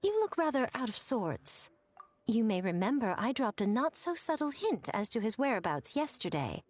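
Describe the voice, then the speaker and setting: A woman's voice: on the high side, sing-song, moderate pace, no room sound. Sly, lilting shrine lady. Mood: teasing. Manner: playful, poised, knowing. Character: sly, teasing, elegant woman of a shrine. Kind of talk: animated video game voice acting.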